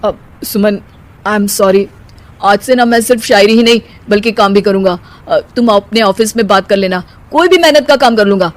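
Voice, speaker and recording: A man's voice: high voice, normal speed, natural voice. A voice actor playing a lazy young husband in a cartoon who lives off his in-laws and recites rhyming couplets with comic flourish. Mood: apologetic. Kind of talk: cartoon voice acting.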